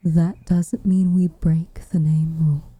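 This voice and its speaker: Woman acting as a guarded tsundere witch, breathy whisper, very dark mellow tone, unhurried formal phrasing, tender, ASMR roleplay. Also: on the low side, flat delivery, no room sound. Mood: gentle, tentative. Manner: measured, formal, hesitant phrasing.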